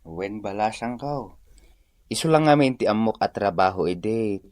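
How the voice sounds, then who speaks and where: An actor playing a humble, grateful old farmer uncle in an Ilocano radio drama, sighing and gentle, with an Ilocano accent. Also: male voice, lowish voice, unhurried, dry recording, clean studio sound, natural voice. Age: older.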